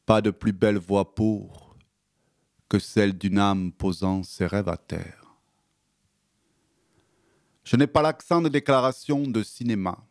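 Low-voiced man with a southwestern French accent. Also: dry recording, studio quality. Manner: measured, hesitant in chat, rhythmic when reciting. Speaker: guest author. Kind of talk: radio interview and recited poem.

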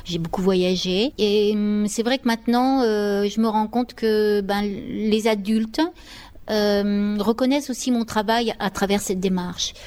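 A woman's voice: mid-range voice, fairly even intonation, unhurried, darkish tone, clean tone. Hesitant, reflective middle-aged woman. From Paris, France. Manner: hesitant, searching for words, reflective. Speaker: interview guest, children's songwriter. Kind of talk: spontaneous interview answers.

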